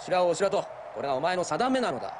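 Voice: medium pitch, sing-song, rapid-fire, background noise, close to an everyday voice. An actor voicing an elegant, haughty young warrior in an anime, mocking and theatrical. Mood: scornful. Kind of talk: anime voice acting. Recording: loud music behind.

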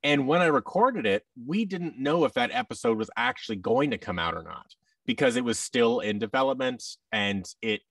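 An American man in his thirties chatting casually as an interview guest, in a halting, self-effacing manner. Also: medium pitch, very animated, gravelly, fairly bright tone, dry recording, recorded from a distance. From United States. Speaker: guest, voice actor. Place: office room indoors, video call. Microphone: boom microphone and headset on a video call.

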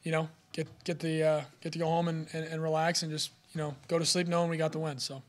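A young man, a college quarterback, answering reporters' questions at a postgame press conference, filling his answers with "you know.". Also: a little high. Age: young adult. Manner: steady, fast, filler-heavy with "you know". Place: press room in front of a sponsor backdrop. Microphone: podium mic.

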